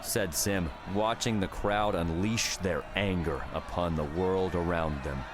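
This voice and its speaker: A man narrates a story in a low-pitched voice. It is a scripted, dramatic audiobook read with faint noise behind it. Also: measured pace.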